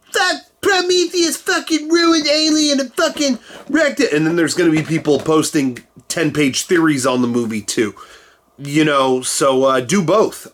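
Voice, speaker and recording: A man in his thirties hosting a casual chat from a cluttered room at home. He rambles and jokes, and he is getting over a cold. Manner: rambling and jokey, with sudden jumps in pitch. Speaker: host.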